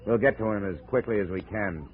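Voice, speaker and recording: Guy doing hard-boiled detective, cynical, deep voice, old 50s radio drama, crackly narrow sound. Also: a little fast, lightly acted. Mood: hard, resolute. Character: tough, cynical insurance investigator leading a jungle manhunt. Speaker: narrator and lead actor. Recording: scratchy, narrow-band 1950s radio recording.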